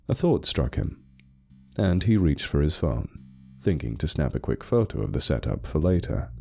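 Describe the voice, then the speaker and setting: A man narrating a scripted horror story like a dramatic audiobook, in a measured, deliberate and ominous way. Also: very deep voice, mellow.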